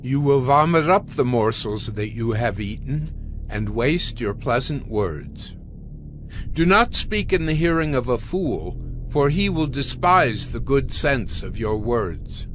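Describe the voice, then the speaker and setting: A man's voice: medium pitch, fairly bright tone. A man narrating scripture as a dramatized audiobook, in a solemn, admonishing manner.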